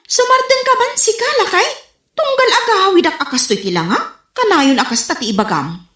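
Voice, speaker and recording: A woman's voice: extremely high pitch, animated, fairly quick, a bit bright. A woman with a northern Philippine accent playing an envious, scornful neighbour who grumbles, in a comedy radio drama. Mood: defensive, scornful. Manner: grumbling, disdainful. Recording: roomy echo, music behind.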